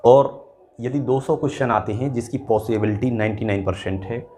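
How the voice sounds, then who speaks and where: A man's voice: mid-range voice, normal speed, warm tone, a little hiss or hum. A man with a North Indian accent giving informal exam coaching advice, repeating his points and often adding short check-in tags. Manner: repetitive, often checks in with short tag phrases. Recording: music playing behind the voice.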